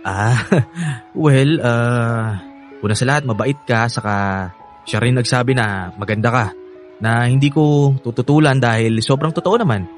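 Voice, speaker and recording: A man's voice: mid-range voice, unhurried, over music, close to an everyday voice. An actor playing an affectionate, eager young man with a Filipino accent in a radio drama, chatty and earnest. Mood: earnest, candid. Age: young adult. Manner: earnest, chatty, laughs often.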